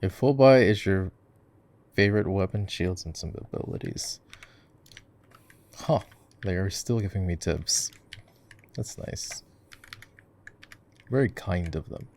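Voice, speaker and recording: Deep, creaky-voiced man chatting while gaming. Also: slow pace, long pauses, a little mellow. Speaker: voice actor roleplaying a gamer boyfriend. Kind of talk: casual gaming chat, ASMR roleplay. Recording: faint noise with keyboard and mouse clicks. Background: keyboard typing and clicking.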